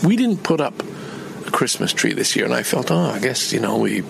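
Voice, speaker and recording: A man's voice: medium pitch, sing-song, fairly quick, creaky, a little hiss or hum. An older man from Canada in a rambling personal vlog, meandering and chatty with many restarts. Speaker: vlogger.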